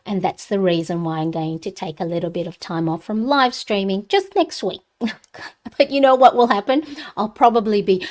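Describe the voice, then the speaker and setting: Chatty middle-aged Filipina planner vlogger. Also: female voice, medium pitch. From Philippines. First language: Tagalog. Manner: chatty and rambling, with frequent fillers and light laughs. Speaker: planner YouTuber hosting a live stream. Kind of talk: casual live-stream chat while planning.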